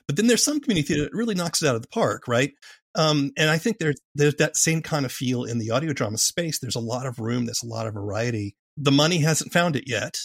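Male podcast interviewer, mid-pitched with heavy fry, conversational and reflective, recorded dry. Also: expressive, fast talker, no room sound. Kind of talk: casual interview chat.

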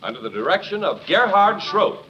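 A man's voice: high voice, big pitch swings, moderate pace, a little hiss or hum. A man announcing in formal, polished 1950s radio style, reading scripted narration and sponsor messages. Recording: muffled old 1950s radio recording.

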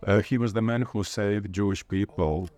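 A man's voice: lowish voice, steady tone, moderate pace, a little mellow. A man from Latvia with a Latvian accent, speaking conversationally as an interviewee and often searching for his words. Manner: hesitant, searching for words with repeated starts. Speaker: interviewee, literature lecturer.

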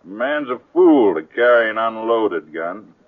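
An actor playing a plain-spoken, unhurried stranger in a 1950s radio western, folksy and laconic, with an American accent. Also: male voice, lowish voice, very animated. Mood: calm, curious. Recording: muffled, narrow-band old radio recording.

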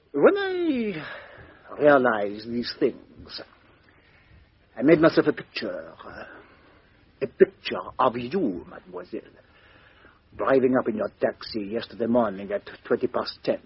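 An actor playing a shrewd, methodical foreign detective in a 1950s radio mystery, speaking in a deliberate, courteous, theatrical way with rhetorical questions. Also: male voice, mid-range voice, slightly rough, full character voice. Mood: dramatic, deliberate. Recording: dull lo-fi 1950s radio recording.

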